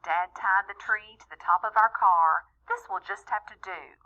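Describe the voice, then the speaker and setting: A woman reading a rhyming children's story aloud in an expressive, deliberate way, as a storyteller talking to young listeners. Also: mid-range voice, lo-fi sound. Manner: animated, deliberate, with small asides to young listeners.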